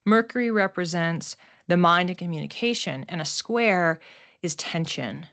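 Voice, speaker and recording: A woman presenting an astrology forecast in an explanatory way, measured and broken into short phrases with pauses. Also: on the low side, fairly bright tone.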